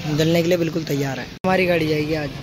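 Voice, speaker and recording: A man's voice: a little high, fairly even intonation, rapid-fire, heavy background noise. A young man chatting casually as he vlogs his trip to a stadium, recorded outdoors on a phone. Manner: chatty, keeps calling viewers brother. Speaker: vlogger. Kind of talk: casual vlog narration. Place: outdoors on city roads and at a stadium.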